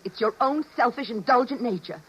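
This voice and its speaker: High-voiced, nagging radio wife. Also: female voice, brisk, husky. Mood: accusing, martyred. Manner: accusing, martyred. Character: nagging, aggrieved, sharp-tongued wife. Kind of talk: 1950s radio drama acting. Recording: dull 1950s radio recording.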